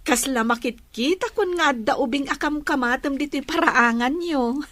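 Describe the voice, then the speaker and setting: A woman's voice: high-pitched, normal speed, fairly bright tone, dry recording. A woman acting a kind, forgiving young friend with an Ilocano Filipino accent in a radio drama. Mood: kind, encouraging.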